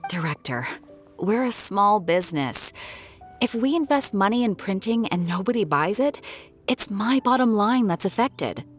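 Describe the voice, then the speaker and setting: A woman's voice: mid-range voice, lively intonation, close to an everyday voice. Woman as dry, skeptical shopkeeper over music. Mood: dry, exasperated. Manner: dry, measured, sarcastic. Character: skeptical, practical female small-business bookseller. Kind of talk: video game voice acting.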